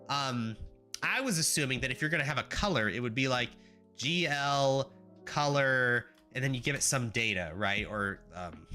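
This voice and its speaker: A man's voice: medium pitch, sharp tone. Congested, nasal young-sounding man streaming. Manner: chatty, rambling, wry asides to chat. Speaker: livestreamer learning graphics programming. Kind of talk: casual live-coding stream commentary.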